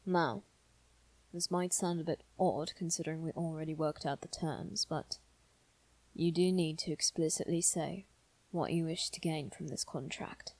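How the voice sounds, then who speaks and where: A woman voicing a gentle, formal male demon who is devoted and possessive, speaking softly and deliberately in a scripted ASMR roleplay. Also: low voice, fairly even intonation, no echo, poor audio. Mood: solemn, devoted. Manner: soft, measured, deliberate, sometimes near whisper.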